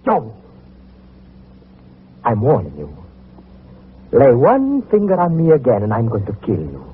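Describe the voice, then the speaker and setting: A man's voice: medium pitch, wildly swooping pitch, unhurried, a little hiss or hum. An actor playing a tense, menacing gangster who stands up to his boss, in an old-time radio crime drama. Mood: threatening. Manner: defiant, menacing. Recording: tinny old radio recording.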